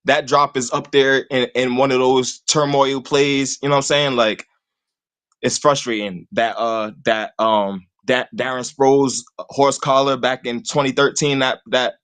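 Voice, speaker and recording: A man's voice: medium pitch, steady tone, measured pace, fairly bright tone, clear, resonant tone. A man, talking sports casually and rambling, leaning on "you know what I'm saying" all the time. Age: 20s. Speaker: call-in guest host.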